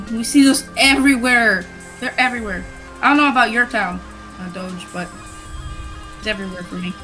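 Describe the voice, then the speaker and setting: A woman's voice: mid-range voice, poor audio. A young woman co-hosting a casual car chat, giving chatty opinions. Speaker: co-host.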